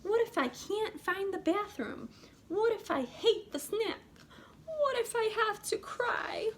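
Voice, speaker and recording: Lady doing a little worried mouse kid from a picture book, high and swoopy, fretting away. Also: sing-song, slow pace, a little hiss or hum, heavily acted. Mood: anxious, fretful. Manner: worried questioning. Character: anxious little girl mouse, fretful and timid. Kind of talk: storybook read-aloud character voice.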